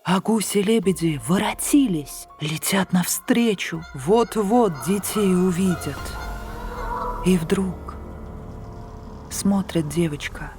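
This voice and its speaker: Female storyteller, hushed and slow, soft music under. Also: on the low side. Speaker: audiobook narrator. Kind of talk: scripted fairy-tale narration. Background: soft background music.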